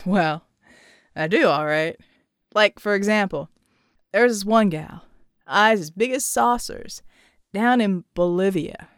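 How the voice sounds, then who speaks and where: A woman voicing a gruff, irritable hard-drinking man with a slangy, slightly tipsy drawl in an audiobook story. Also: mid-range voice, slow pace, pauses often, no echo, clean studio sound, lightly acted. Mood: tipsy, rueful.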